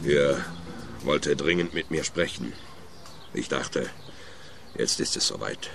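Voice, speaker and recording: A man's voice: very deep voice, slow pace, gravelly, fairly bright tone, soft background music, full character voice. A man with a Swiss German accent playing a cold, arrogant wealthy wine magnate in a radio play. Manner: cold, arrogant, self-assured.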